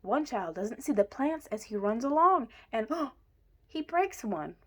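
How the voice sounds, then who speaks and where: A woman reading a children's picture book aloud in an expressive way, with deliberate emphasis. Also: fairly high pitch. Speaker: story reader.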